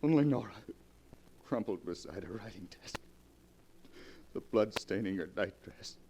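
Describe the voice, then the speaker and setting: An actor playing a despairing man accused of murder, halting and emotional in 1940s radio drama style. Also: on the low side, animated, warm tone, slight background noise. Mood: hopeless, haunted. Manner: melodramatic, halting, emotional delivery.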